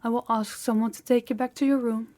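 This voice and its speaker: A woman playing a proud, guarded young princess in an intimate audio roleplay, speaking in a measured, formal, slightly awkward way to the listener. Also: on the high side, fairly even intonation, a little mellow, close to an everyday voice. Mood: stiff, composed.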